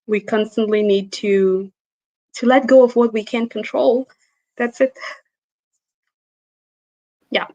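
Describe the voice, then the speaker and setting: A woman's voice: a little high, steady tone, takes it slowly, pauses often, mellow, dry recording, highs cut off. Woman speaking in a dry close voice. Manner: thoughtful, laughs easily, with restarts. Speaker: guest. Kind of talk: interview answers.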